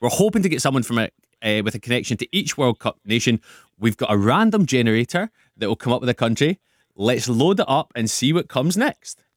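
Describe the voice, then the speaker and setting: A man's voice: medium pitch, big pitch swings, fairly quick. A male radio host chatting playfully with a caller and co-hosts, often laughing. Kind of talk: casual radio chat. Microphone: studio microphone.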